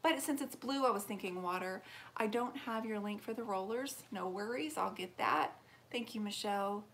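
A woman's voice: mid-range voice, normal speed, a bit bright. A woman in her forties with a mid-range voice talks in a rambling, friendly way during a live painting stream, drifting toward and away from the camera mic as she works. A faint background hiss sits under her voice in an indoor home workshop. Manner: chatty, rambling, answers viewer comments aloud. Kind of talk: casual live-stream tutorial chat. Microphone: camera mic, moves toward and away from it.

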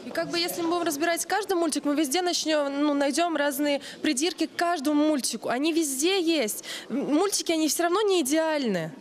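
High-pitched young woman arguing. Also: a little fast, hardly any pauses. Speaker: audience participant. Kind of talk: casual argument in a studio discussion. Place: TV studio. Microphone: handheld microphone held out to her.

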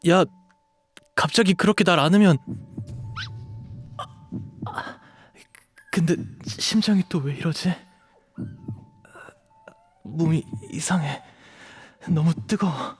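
A man's voice: medium pitch, expressive, a little slow, long pauses, soft background music, close to an everyday voice. A young man playing an earnest, protective dog shifter in a romantic audio drama. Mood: tender, flustered. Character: gentle, devoted young dog shifter, wounded but protective.

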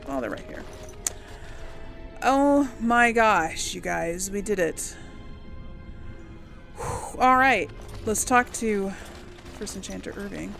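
A woman playing a game and commenting casually, excitable, talking to herself and her characters, sometimes answering in character. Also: medium pitch, slow, creaky, long pauses, faint music behind. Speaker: gamer playing and role-playing for an audience.

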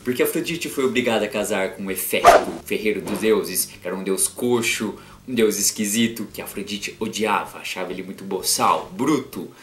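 A man's voice: normal speed, background noise. Theatrical young man in an echoey bedroom. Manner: playful, self-mocking, dramatic swings in pitch and volume. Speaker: art teacher and cartoonist vlogger. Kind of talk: theatrical educational vlog. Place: small bedroom with bookshelf. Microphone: webcam framing, seated facing camera.

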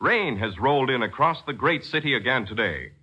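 Bright, forceful 1940s American radio announcer man. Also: medium pitch, expressive, a little fast, husky, highs cut off. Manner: dramatic, emphatic, polished salesmanship. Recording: 1940s radio broadcast with music.

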